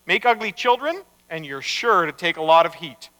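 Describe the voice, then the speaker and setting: A man's voice: high-pitched, big pitch swings, moderate pace, pushed and bright, exaggerated voice. A man voicing a blunt, fatherly God with an authoritative, sardonic manner in a told story. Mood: wry, commanding. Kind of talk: live storytelling. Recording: echoey hall, long reverb.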